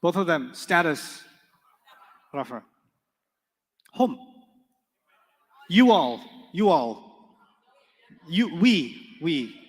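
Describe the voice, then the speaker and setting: A man with a fairly high voice teaches a live class. His first language is Urdu, and he keeps it playful, drilling students and telling stories. The sound carries a long reverb from a big lecture hall. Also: sing-song, very slow, pauses often, husky. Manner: playful, joking, quizzes students in call-and-response. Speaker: Arabic teacher.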